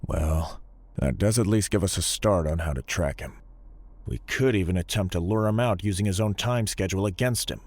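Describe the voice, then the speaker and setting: A low-pitched man's voice from an actor plays a stern police lieutenant in an audio drama. He is measured and authoritative, with dry sarcasm under the orders. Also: darkish tone, no echo.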